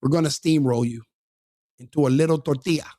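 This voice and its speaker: A man with a somewhat high voice sneers and jeers through crude reaction commentary, laughing as he goes. The sound is dry and close, with no room around him. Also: a little fast, mellow, no room sound.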